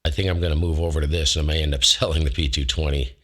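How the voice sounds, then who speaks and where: A man with a low voice hosting a ham radio show, talking casually through a microphone demo from his home shack on a close dynamic mic, rambling and correcting himself as he goes. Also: steady tone, rapid-fire, fairly bright tone, slightly rough. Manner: rambling, self-correcting, folksy. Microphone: close dynamic studio mic.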